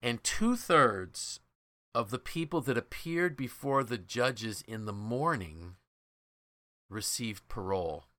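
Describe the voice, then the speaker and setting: A man's voice: mid-range voice, animated, slow pace, bright, forceful tone, no room sound. A man hosting a podcast, explaining ideas from a book in a conversational, emphatic way. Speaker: podcast host.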